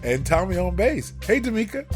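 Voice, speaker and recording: A man's voice: high voice, big pitch swings, fairly quick, over music. A man co-hosting a casual radio show with his partner, talkative and playfully preachy.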